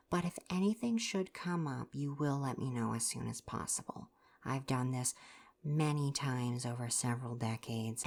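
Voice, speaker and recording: Woman playing a very low-voiced, rambling healer god. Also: a little mellow. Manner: rambling, musing, politely formal with casual asides. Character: eccentric, chatty, absent-minded ancient Greek healer god. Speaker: doctor. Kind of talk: ASMR roleplay.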